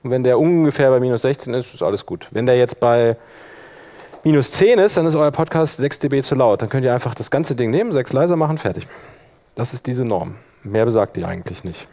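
A man presenting a live workshop, explaining software in a colloquial, hands-on way. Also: medium pitch, lively intonation, brisk. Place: live workshop in front of attendees.

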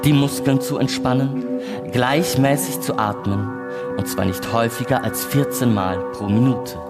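A man's voice: mid-range voice, normal speed, natural voice. Man playing a formal space station computer, music behind. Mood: formal, impassive alarm. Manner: formal, bureaucratic, precise announcing. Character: emotionless space station computer reciting emergency instructions. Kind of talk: science fiction radio play reading. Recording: loud music and effects behind. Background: music and sound effects.

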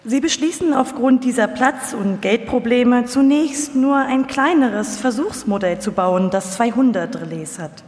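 A woman's voice: a little high, unhurried, hardly any pauses, a little mellow. A woman reading scripted documentary narration live. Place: live stage in a reverberant hall. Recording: echoey hall with long reverb.

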